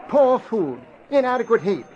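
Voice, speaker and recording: A man's voice: very high-pitched, sing-song, moderate pace, a little hiss or hum. An actor playing a brash, suspicious newspaperman, emphatic and slangy, in 1940s radio style. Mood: insistent, suspicious. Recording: dull old radio recording.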